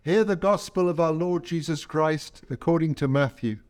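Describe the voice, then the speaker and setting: Male clergy, voice a touch high for a man, solemn measured sermon and liturgy delivery. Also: darkish tone. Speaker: priest leading a Palm Sunday service.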